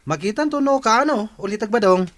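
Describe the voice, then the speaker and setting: A man's voice: high voice, lively intonation, fairly quick. A man playing a cheeky, jovial villager in an Ilocano radio comedy drama, with an Ilocano accent.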